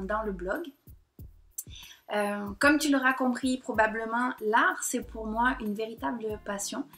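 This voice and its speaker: A woman in her thirties with a southern French accent that has picked up Québécois colour. She sits in front of her computer and speaks in a fairly high voice, pausing and hesitating often as she confides. Faint music plays underneath. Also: a bit bright. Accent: southern French mixed with Québécois. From south of France. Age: 30s. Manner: hesitant, many pauses and fillers. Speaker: painter and blogger. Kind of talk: personal confiding vlog to camera. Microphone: computer or laptop mic.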